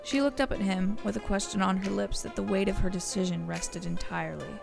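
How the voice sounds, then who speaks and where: A woman's voice: mid-range voice, fairly even intonation, brisk, creaky. A woman narrator reading a scripted audio story. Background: music.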